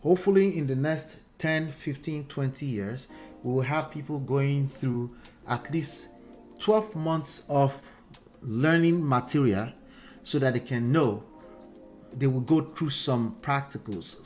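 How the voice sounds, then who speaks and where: A man with a Nigerian accent, Yoruba as his first language, hosting a casual live talk on relationships and reading viewer comments aloud, repeating phrases for emphasis. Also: medium pitch. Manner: animated, repeats phrases for emphasis, switches between loud appeals and quiet asides. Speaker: relationship coach and host.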